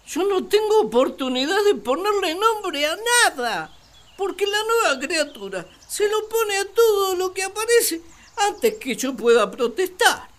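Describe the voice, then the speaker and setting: A woman's voice: big pitch swings, heavily acted. Wildly swooping, grumpy naive first man. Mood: indignant, exclamatory. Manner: exclamatory, theatrical, swinging from shrill outbursts to slow pompous musing. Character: Adam, grumpy naive first man, bemused by his companion. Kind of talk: radio play acting.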